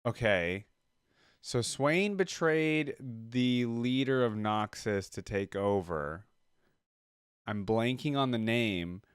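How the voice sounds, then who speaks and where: A man's voice: fairly low pitch, clean tone, no echo, studio quality. A man hosting a reaction video and commenting in a casual way.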